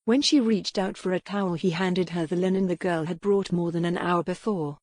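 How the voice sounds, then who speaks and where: Female narrator for a historical romance audiobook, slightly low register, scripted storytelling, intimate dry sound. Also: brisk, darkish tone, dry recording.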